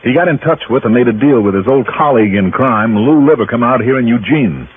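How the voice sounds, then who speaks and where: Wry American detective, tinny old radio. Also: male voice, medium pitch, lively intonation, fairly quick, slightly rough. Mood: confident, explanatory. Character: tough, confident male insurance detective, wry and self-assured. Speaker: lead actor and narrator of a radio detective series. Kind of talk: old-time radio detective acting and narration. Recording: old narrowband radio recording, music behind.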